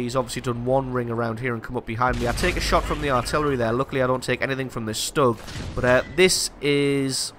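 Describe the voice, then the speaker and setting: A man with a bright, forceful voice and a stuffy, congested nose from a cold talks through his own match as host of a gaming channel. He explains his moves in a casual, self-deprecating way. A faint hiss sits under his voice. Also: mid-range voice. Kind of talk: gameplay commentary.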